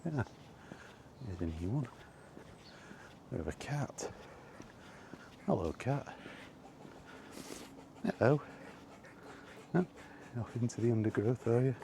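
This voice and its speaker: A man's voice: medium pitch, animated, slow pace, creaky, long pauses, mellow. A British man rambling casually as he walks outdoors, hesitant and mildly grumbling, with outdoor noise around him. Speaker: vlogger. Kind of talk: rambling casual monologue while out walking. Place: outdoors, walking in a park. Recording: noisy outdoor ambience.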